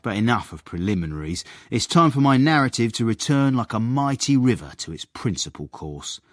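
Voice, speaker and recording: An actor playing a sly, knowing manservant who narrates a period radio drama with wry, mock-grand flourishes. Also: male voice, mid-range voice, big pitch swings, brisk, no room sound, clean studio sound. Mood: amused storytelling.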